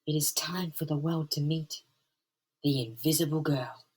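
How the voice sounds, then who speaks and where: A man's voice: a little high, steady tone, normal speed, far from the mic, pitched above the performer's own voice, close to an everyday voice. A man voicing an earnest, determined young superheroine in a dramatic comic-book reading. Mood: solemn resolve.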